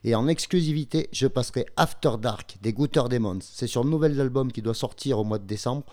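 Male radio music presenter, mid-pitched, soft mellow tone, introducing tracks. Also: brisk. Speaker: radio host. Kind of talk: music show presenting, announcing songs.

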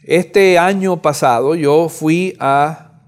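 Man, 50s, Bible study teacher, earnest, repeats words, fairly high voice. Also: very slow. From Cuba. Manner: earnest, repeats words while thinking, rising on emphasis. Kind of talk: Bible lesson commentary. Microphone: audio recording.

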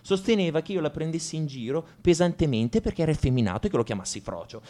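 Un attore che interpreta un liceale tormentato e amareggiato, in un monologo confessionale di radiodramma, con enfasi riflessiva. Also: male voice, fairly high pitch, expressive, fast, dark tone, lightly acted. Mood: tense recounting. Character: brooding male high school student confessing to violence. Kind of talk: radio drama monologue, first-person narration.

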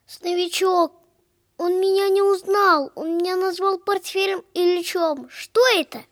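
A woman's voice: extremely high pitch, fairly even intonation, unhurried, dry recording, studio quality. An actress playing an earnest, plaintive little boy in a children's audio play. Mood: hurt. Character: Venya, earnest little boy seeking his father.

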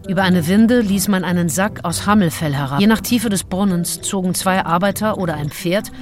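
An actress playing an observant, opinionated nineteenth-century woman traveller, telling her journal in a measured way for a radio drama. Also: fairly low pitch, soft background music, natural voice. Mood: factual, concerned. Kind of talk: literary radio drama monologue.

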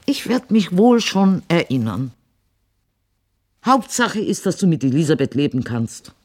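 Old viennese mom in a radio drama, bossy n sharp tongued, pitch goes all over the place. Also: female voice, big pitch swings, heavily acted. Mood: resigned, practical. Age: older. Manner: haughty, deliberate, probing with curt questions.

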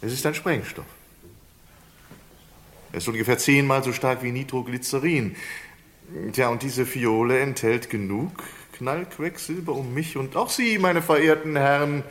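Condemned prisoner played by a man for radio thriller: mid-range voice, ornate courtesy, calm menace, sly mockery. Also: mid-range voice, close to an everyday voice. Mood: calm polite menace. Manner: elaborately polite, hesitant, drawn-out menace. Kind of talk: German radio crime play acting.

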